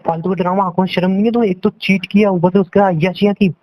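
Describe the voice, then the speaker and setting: A dull, lo-fi phone recording of a young man in a heated argument. His voice sits fairly high, and he scolds and accuses, circling back over the same points. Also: fairly even intonation, racing, background noise. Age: young adult. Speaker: boyfriend on a phone call. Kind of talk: heated relationship argument in casual Hindi-English talk. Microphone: phone call.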